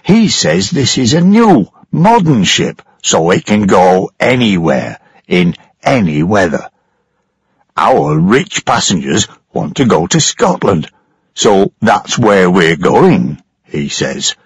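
A man playing a worn-out ship's engineer, voice pushed high and strained with exasperation. The husky tone and wide pitch swings keep him agitated, and the reading sounds dry and close. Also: big pitch swings, slow pace, no echo, full character voice. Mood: complaining. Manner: exasperated, complaining. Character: tired, frightened male ship's engineer.

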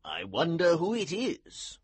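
A man voicing a gruff, protective older colonel in an audiobook, with a raspy, emphatic delivery. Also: fairly high pitch, huge pitch swings, no room sound, voice pushed up, full character voice. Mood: curious. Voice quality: husky, strained rasp. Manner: emphatic, deliberate. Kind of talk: audiobook character voice.

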